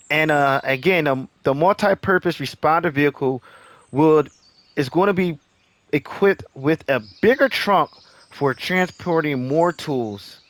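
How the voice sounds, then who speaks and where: A man hosting a gaming channel, giving casual update commentary in a halting way, repeating phrases as he addresses viewers encouragingly. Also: on the high side, dry recording.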